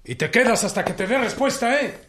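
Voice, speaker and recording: An actor playing an earnest, plain-spoken peasant leader with a rural Mexican accent in a radio drama. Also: male voice, very high voice, lively intonation, fairly quick, a bit bright, slight background noise. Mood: decisive. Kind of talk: Spanish-language radio drama acting.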